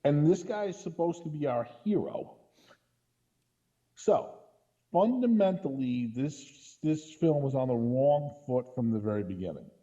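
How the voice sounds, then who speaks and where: A man's voice: a little high, expressive, a little slow, warm tone, highs cut off. A man giving casual, critical film commentary on a podcast in an exasperated way, often checking his points with a questioning tag. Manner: exasperated, punctuates points with "right?". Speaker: podcast co-host or guest critic.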